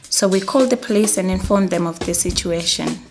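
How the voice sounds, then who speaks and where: A woman's voice: on the low side, brisk, music in the background, lightly acted. A woman playing a concerned, matter-of-fact mental health nurse narrating a scripted training film. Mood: measured, concerned.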